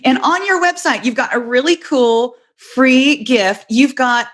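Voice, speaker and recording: A woman's voice: high voice, a little slow, fairly bright tone. A woman hosting an interview, putting questions and prompts to a guest in a conversational style. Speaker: interviewer, show host.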